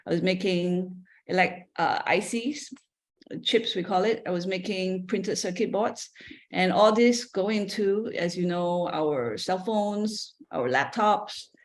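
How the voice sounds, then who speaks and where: Chatty Malaysian woman telling her story. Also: mid-range voice. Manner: conversational, with frequent fillers and self-corrections. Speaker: seminar guest speaker. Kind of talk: personal talk to an audience.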